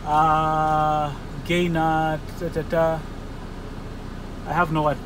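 A man in his forties, a truck driver, thinking aloud as he works through a screen in the cab of his parked truck, hesitant and halting. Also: a little high, completely flat delivery. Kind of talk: casual vlog walkthrough. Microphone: phone camera held in the cab. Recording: engine and fan noise in a truck cab.

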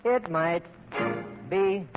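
A man's voice: sits high, very slow. A man performing a comic novelty song on an old 1930s radio broadcast. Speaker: singer. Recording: tinny 1930s radio broadcast, loud music.

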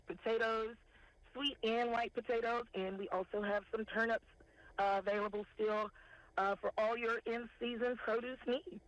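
A woman, a farmers market director calling in to a morning news show and listing products in a steady, unhurried way. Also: fairly high pitch. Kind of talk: phone interview, listing products. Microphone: telephone. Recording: tinny phone line.

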